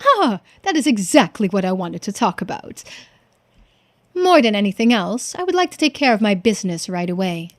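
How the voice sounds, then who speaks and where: A woman's voice: mid-range voice, very animated, normal speed, no echo, natural voice. A woman voicing a haughty, easily offended businessman with pompous, formal manners in a video game. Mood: smoothly polite. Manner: pompous, formal, indignant phrasing. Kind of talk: video game character voice-over.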